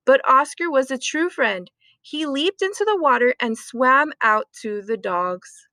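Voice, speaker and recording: A woman reading a children's story aloud expressively, with deliberate dramatic emphasis. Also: sits high, expressive, sharp tone, dry recording. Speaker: storytime reader.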